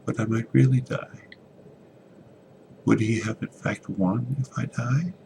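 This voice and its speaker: A man voicing a tormented, helpless son in a dramatic story reading, pleading in a breathy whimper. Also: mid-range voice, fairly even intonation, unhurried, noisy background, voice pushed up. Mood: anguished, despairing.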